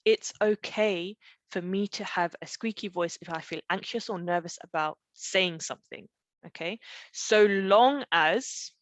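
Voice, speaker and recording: A woman's voice: lowish voice, no echo. A middle-aged woman coaching in a live online session, explaining through personal stories and often checking in with short questions. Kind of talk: conversational live webinar teaching with personal stories.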